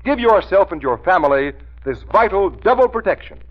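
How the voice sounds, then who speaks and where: An American man works as an old-time radio announcer, with a rough-edged voice. His delivery is emphatic and very animated, on a muffled 1930s recording. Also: sits high, brisk. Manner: emphatic, formal, dramatic delivery. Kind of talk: 1930s radio announcing, scripted narration and advertising.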